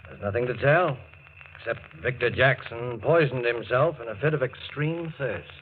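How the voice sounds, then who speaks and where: An actor plays a shrewd man of medicine, calm and authoritative. His medium-pitched voice is measured in 1940s radio drama style, on a muffled broadcast with faint hiss. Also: natural voice. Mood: calm, knowing.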